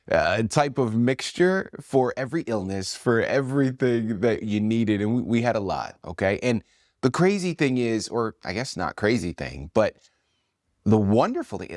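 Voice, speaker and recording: A man's voice: fairly low pitch, no room sound, studio quality. A man hosting a talk show, chatting with enthusiasm and affirming his guest often, with a few restarts. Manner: animated, affirming, repeats himself. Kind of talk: enthusiastic interview chat.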